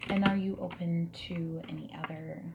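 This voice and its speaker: A woman playing a friendly, non-judgmental dating service matchmaker in a gentle, soft-spoken and whispered ASMR roleplay. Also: fairly low pitch, fairly even intonation, gravelly, lo-fi sound, very noisy. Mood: gentle, businesslike. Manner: gentle, reassuring, asks questions and pauses for answers. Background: mechanical keyboard typing and clicks.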